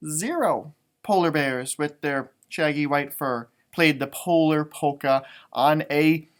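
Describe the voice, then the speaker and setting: A man reading a children's picture book aloud in an animated way, stretching out read phrases and adding chatty, exclaiming asides. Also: on the high side. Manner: animated, exclaiming, drawn-out words when reading. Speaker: storybook reader, elementary music teacher.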